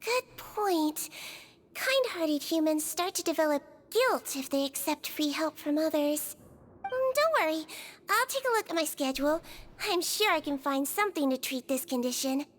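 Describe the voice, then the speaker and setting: A woman voicing a small, gentle female melusine nurse in a video game, motherly and gently teasing. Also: high-pitched, animated, normal speed, slight background noise. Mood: caring. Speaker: prison nurse.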